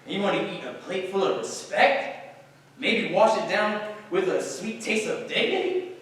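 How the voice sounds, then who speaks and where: Brash American man, played by a stage actor, mocking and worldly, somewhat high voice, distant hall sound. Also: very animated, moderate pace, fairly bright tone. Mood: mocking, heated. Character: brash, mocking, worldly male actor taunting his friend. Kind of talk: theatrical stage dialogue. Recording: echoey hall, distant microphone.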